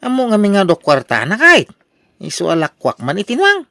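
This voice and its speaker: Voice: medium pitch, wildly swooping pitch, fairly quick, sharp tone, dry recording, clean studio sound, full character voice. A performer with an Ilocano accent playing a hapless, evasive gambler with a whining manner in a radio drama.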